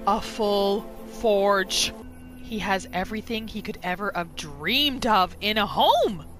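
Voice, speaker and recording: A woman hosting a gaming roleplay series, giving expressive playthrough commentary and telling her character's story. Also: medium pitch. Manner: expressive, exclamatory reactions.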